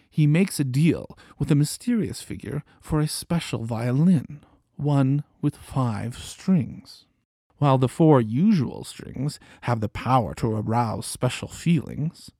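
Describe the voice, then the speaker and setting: A man hosting a podcast, narrating a story in a measured storytelling way. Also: medium pitch, mellow, no echo, studio quality. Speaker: podcast host. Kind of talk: scripted narration and interview questions.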